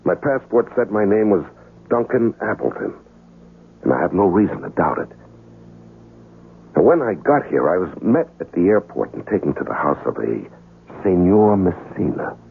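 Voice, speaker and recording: An earnest man searching for his lost identity in a vintage radio drama. His voice is very low with a creaky edge, swinging widely in pitch as he speaks in a measured, hesitant way. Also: very animated, exaggerated voice. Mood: troubled, confiding. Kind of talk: 1970s radio drama acting, narration and dialogue. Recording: muffled vintage radio recording, faint music.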